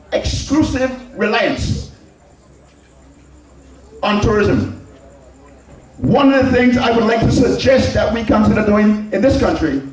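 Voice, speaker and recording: A man with an Anguillan Caribbean accent giving a political public address in an emphatic, deliberate manner, repeating phrases for stress. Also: sits high, a little mellow. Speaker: public speaker addressing a community. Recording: very echoey public address, distant mic.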